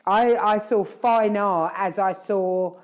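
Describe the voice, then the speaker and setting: A woman's voice: mid-range voice, fairly even intonation, slow pace, a little mellow. A woman hosting a casual chat, talking in a rambling, reflective way and often correcting herself. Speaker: podcast host.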